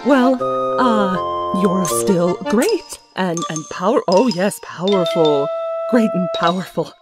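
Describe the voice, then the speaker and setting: A woman's voice: lowish voice, expressive, slow, loud music behind the voice. A woman playing a kindly, flustered grown pony auntie who coaxes hesitantly and stammers, in a cartoon comic dub. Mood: flustered, apologetic.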